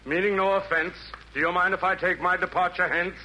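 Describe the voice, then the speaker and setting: An actor playing a fussy, whining, reluctant Roman tyrant from hell in a rhymed 1940s radio comedy, plaintive and theatrical. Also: male voice, high-pitched, fairly even intonation, brisk, close to an everyday voice. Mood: fretful, reluctant. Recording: noisy old 1940s radio recording.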